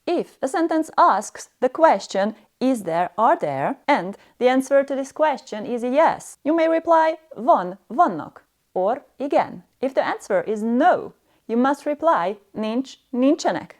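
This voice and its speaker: A woman's voice: sits high, sing-song, unhurried, darkish tone. A woman narrating a scripted language lesson as a stern, harsh and demanding teacher.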